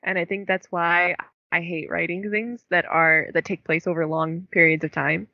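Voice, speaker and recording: A woman's voice: fairly low pitch, level tone, normal speed, creaky, no room sound. A young woman co-hosting a book podcast, chatting casually with lots of fillers and laughing at herself. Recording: dull dry lo-fi recording.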